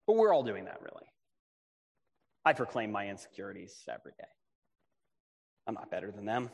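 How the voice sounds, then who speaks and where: A man with a big, projecting voice preaching a conversational sermon, casual and self-deprecating, often asking rhetorical questions. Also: mid-range voice. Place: large reverberant room. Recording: reverberant hall.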